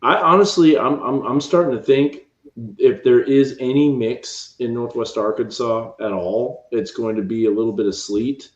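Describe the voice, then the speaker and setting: A man hosting a late-night weather stream from home, talking quietly and rambling through the forecast on a cheap microphone. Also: mid-range voice, moderate pace. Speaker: meteorologist host. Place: upstairs room at home at midnight. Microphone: cheap home mic. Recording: roomy, dull home mic.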